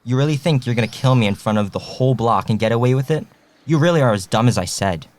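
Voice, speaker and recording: A man's voice: medium pitch, expressive, fast talker, darkish tone, natural voice. A man playing a defiant, hot-headed young man in an audio drama, indignant and mouthy. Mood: defiant, angry.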